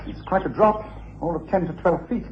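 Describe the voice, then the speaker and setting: Actor as British male detective, fairly high lively voice, analytical, authoritative, radio mystery, muffled sound. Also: moderate pace, natural voice. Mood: intent, puzzled. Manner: methodical, authoritative, questioning. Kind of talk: radio drama acting. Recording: muffled, hissy old radio recording.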